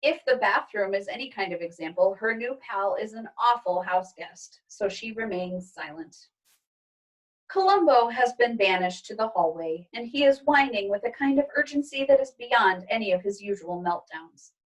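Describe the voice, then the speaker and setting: Gentle woman reading a story aloud. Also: medium pitch, expressive, normal speed, distant mic, rough recording.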